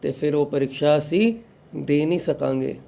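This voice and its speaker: A young man, recovering from a sore throat, hosting a devotional talk and giving calm advice to followers while mixing in English phrases. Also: on the high side, a little slow, gravelly. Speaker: satsang host.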